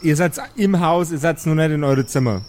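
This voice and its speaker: A man's voice: on the high side, normal speed, darkish tone. A man narrating an improvised tabletop game as game master, joking and searching for words as he goes.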